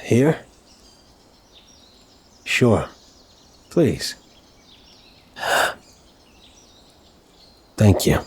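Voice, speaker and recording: A man playing an apologetic, flirtatious mafia boss in an intimate ASMR roleplay, speaking in a courteous, teasing, slightly halting way. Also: fairly low pitch, very animated, slightly rough, full character voice. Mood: flustered, amused.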